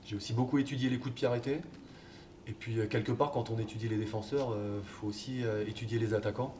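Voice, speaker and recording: Coach guy talking low, lots of euhs, kinda far from the mic with noise behind. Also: steady tone, fast talker. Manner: hesitant, many fillers. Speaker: football coach. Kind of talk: casual interview answers. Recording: distant mic, background noise.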